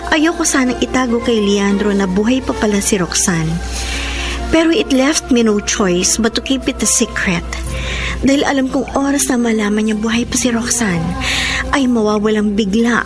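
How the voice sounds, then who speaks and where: Actress as remorseful Filipina narrating over music. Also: female voice, fairly high pitch, moderate pace, natural voice. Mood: anxious, remorseful. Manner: confessional, heartfelt. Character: anxious, remorseful woman confessing in a letter. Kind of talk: dramatized letter narration on radio.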